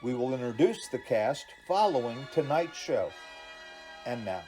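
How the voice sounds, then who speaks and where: A man's voice: medium pitch, very animated, a little slow, warm tone, soft background music. A man giving a scripted welcome as a community theater announcer.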